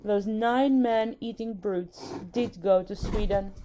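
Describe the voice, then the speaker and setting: A woman's voice: mid-range voice, slow pace, warm tone, slight background noise, lightly acted, rough recording. A woman voicing a composed storybook queen, commanding and matter-of-fact.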